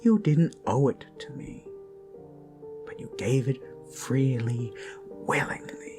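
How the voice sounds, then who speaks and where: A man performs a creepy, lovesick male captor in an intimate ASMR drama. He speaks slowly and haltingly, with wide swings from hushed murmurs to pointed emphasis, menacing and adoring at once. Music plays under the voice. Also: medium pitch, animated. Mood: brooding, intimate. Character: obsessive male yandere kidnapper, gushing, menacing, self-abasing zealot. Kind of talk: intimate ASMR roleplay monologue. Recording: background music under close voice.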